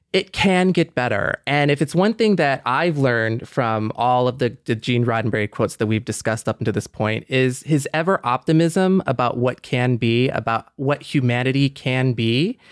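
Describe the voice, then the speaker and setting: Measured man, dry close sound. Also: mid-range voice, no echo. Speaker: podcast co-host. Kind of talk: thoughtful conversational discussion.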